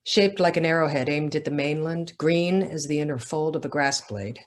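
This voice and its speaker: A woman's voice: low-pitched, normal speed. A woman author speaking at a literary event, with talk and reading from her own writing. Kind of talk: casual talk, then literary reading aloud.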